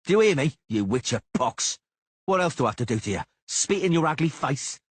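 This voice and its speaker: A man voicing a boastful, hot-headed young swordsman who jeers and shouts challenges. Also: mid-range voice, sing-song, moderate pace, husky, dry recording, pitched above the performer's own voice. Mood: shouting. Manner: loud, jeering, challenging.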